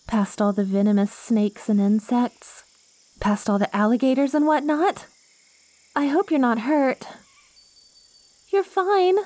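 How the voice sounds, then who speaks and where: A woman's voice: fairly high pitch, dark tone, no room sound, lightly acted. A woman playing an ancient, lonely plant girl in an ASMR roleplay, clingy and curious, with quirky, wordy, theatrical phrasing. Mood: curious, concerned. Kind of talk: ASMR roleplay, acted monologue to the listener.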